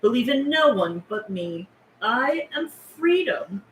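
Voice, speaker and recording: Actress for serene, seductive cult broadcaster in a drama; very unhurried, hypnotic, distant from the mic. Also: female voice, a little high, big pitch swings. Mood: serene, commanding. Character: Mother Neptune, serene, seductive cult-like female radio show host. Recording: roomy, distant mic, faint noise.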